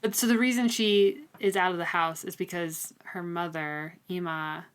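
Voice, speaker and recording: A woman's voice: on the low side, fairly even intonation, normal speed, clear, resonant tone. A woman in her thirties with an American accent, co-hosting a casual book chat and explaining things in order. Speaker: podcast co-host.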